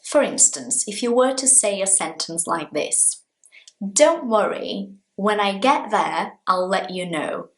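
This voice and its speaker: A woman from Brazil, a native Portuguese speaker with a Brazilian accent, teaching an English lesson in a slow, deliberate, explanatory manner. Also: mid-range voice, expressive, reverberant, poor audio. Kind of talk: language lesson talking to viewers.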